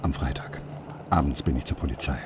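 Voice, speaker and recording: Very deep-voiced hushed surgeon. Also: male voice, brisk, background noise. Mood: urgent. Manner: urgent, hushed, pleading. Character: secretive worried brain surgeon father. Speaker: brain surgeon.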